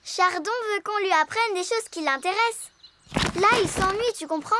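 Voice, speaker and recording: A woman playing a feisty, bossy, impulsive little girl in a children's cartoon, assertive and expressive. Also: very high voice, normal speed, heavy background noise. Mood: confident. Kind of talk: French-language children's cartoon dub acting. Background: children's music and jingles.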